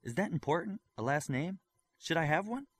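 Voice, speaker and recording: Innocent, confused boy for a superhero audiobook, played by a man, artless and plain, lifted pitch. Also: animated, normal speed, slightly rough.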